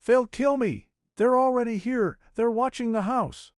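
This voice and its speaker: A man's voice: very high-pitched, a little slow, no echo, studio quality, voice pushed up. A man voicing a terrified old corrupt coroner in a noir audiobook, gasping and shrieking with a cracking voice. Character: panicked old whiskey-soaked corrupt male coroner.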